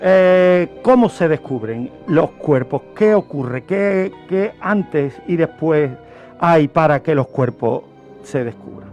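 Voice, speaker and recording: A man with an accent from Spain presenting a true-crime story on radio, phrasing it deliberately and emphatically, with repetitions. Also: fairly high pitch, lively intonation, darkish tone, lo-fi sound, soft background music. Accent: Spanish from Spain.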